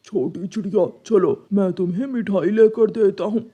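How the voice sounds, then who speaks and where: Woman voicing a dark-toned wicked crow villain. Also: medium pitch, moderate pace, muffled recording, slight background noise, lightly acted. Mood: sly coaxing. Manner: menacing, sly. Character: greedy, dangerous wicked male crow villain.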